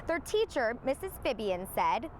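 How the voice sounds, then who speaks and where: A woman reading a children's storybook aloud in an expressive storytelling manner. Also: fairly high pitch, unhurried, a little hiss or hum. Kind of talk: scripted storybook narration for young children.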